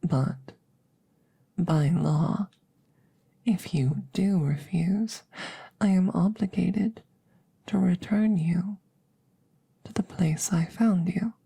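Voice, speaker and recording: A woman voicing a formal, curious alien examiner in an ASMR roleplay, soft and breathy, often whispering, with precise clinical phrasing. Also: fairly low pitch, drawn-out words, long pauses, exaggerated voice. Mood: clinical, hushed. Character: formal, curious female alien examiner interviewing a rescued creature.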